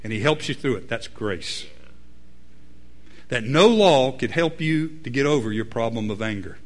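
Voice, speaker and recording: Animated preaching man. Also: moderate pace. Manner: animated preaching, swings from loud emphatic peaks to low quiet asides. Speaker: pastor. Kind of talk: sermon.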